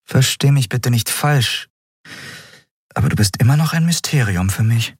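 A man voicing a kindly female airship captain in an audiobook, brisk and informal in manner. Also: medium pitch, animated, breathy edge, dry recording, clean studio sound, pitched above the performer's own voice. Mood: earnest.

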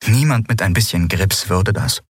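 A man's voice: lowish voice, moderate pace, slightly rough, no echo, studio quality. A man voicing a proud woman who helps grudgingly, in a fantasy audiobook, with a cool and pointed manner. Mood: cool, determined.

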